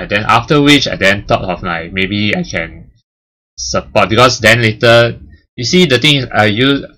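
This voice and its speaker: A man's voice: low-pitched, pushed and bright, low-quality recording. A man casually chatting about his channel's history as its host, rambling with lots of fillers and restarts. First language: English and Chinese. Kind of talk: casual milestone chat.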